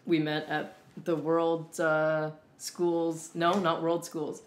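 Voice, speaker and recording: A young Canadian man co-hosting a talk show, explaining in an earnest, measured way with deliberate pauses. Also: fairly high pitch, monotone. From Winnipeg, Canada. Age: young adult. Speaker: co-host. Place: room at home with whiteboard and fridge, indoors.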